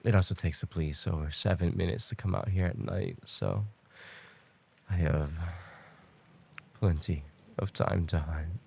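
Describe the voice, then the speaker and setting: Guy doing a creepy kidnapper stalker for asmr, slow gravelly drawl, smug and menacing, fake sweet. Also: low-pitched, steady tone, warm tone, exaggerated voice. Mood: calm, menacing. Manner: taunting, mock-tender, unhurried. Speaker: ASMR roleplay voice actor. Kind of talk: ASMR roleplay acting.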